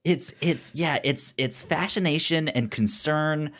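A man's voice: a little high, a little slow, a bit bright. A man co-hosting a film podcast in casual conversation, stammering and often repeating syllables and words as he goes. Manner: acts out characters' lines while retelling.

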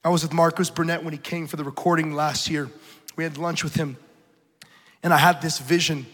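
Thirties male preacher, forceful and urgent, ringing hall reverb. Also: on the high side, little pitch movement, a little fast. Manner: urgent, emphatic, rhetorical repetition. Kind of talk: passionate sermon. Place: large church hall.

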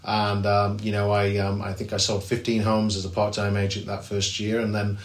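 A man's voice: fairly low pitch, completely flat delivery, normal speed, roomy sound. A man with an English accent, a real estate agent, answering interview questions in a chatty way with many fillers and restarts. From England. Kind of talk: podcast interview.

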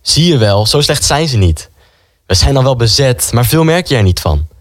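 A man's voice: medium pitch, sing-song, normal speed, no echo, studio quality, close to an everyday voice. A young man playing a stubborn, idealistic student in a wartime radio play, earnest and self-justifying. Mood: dismissive, reassuring. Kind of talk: radio play dialogue and inner monologue.

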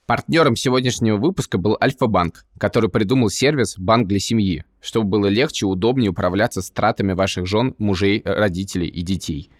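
A man's voice: fairly low pitch, fairly quick, few pauses. A man in his thirties co-hosting a podcast, chatting casually and emphatically, often repeating and rephrasing himself. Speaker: podcast co-host. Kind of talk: casual opinionated podcast chat.

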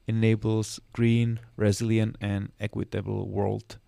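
A man talks through his work in a measured, explanatory way. His voice sits low with a gravelly edge and stays flat in tone. The sound is close and dry. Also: dry recording. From Czech Republic. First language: Czech. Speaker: guest, financial sector specialist. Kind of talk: podcast interview.

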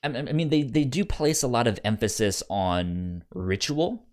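A man co-hosting a podcast in a casual book discussion, speaking haltingly and often repeating sounds and words as he goes. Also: mid-range voice, expressive, a little mellow. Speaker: podcast co-host.

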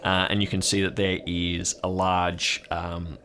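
Low-voiced male game master narrating. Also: monotone, pushed and bright, a little hiss or hum. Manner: descriptive, pausing to build detail. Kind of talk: improvised scene narration.

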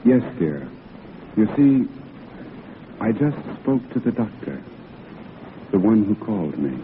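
An actor playing an earnest, protective gentleman friend, formal and emphatic in 1940s radio drama style. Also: big pitch swings, takes it slowly, noisy background. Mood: gentle urging. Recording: rumbly, phone-like old radio recording.

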